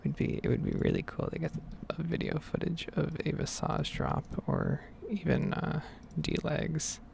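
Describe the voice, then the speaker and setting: A woman giving whispered and soft-spoken ASMR game commentary in a breathy, rambling, unhurried way. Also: low voice, flat delivery, a little hiss or hum. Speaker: gaming ASMR video creator.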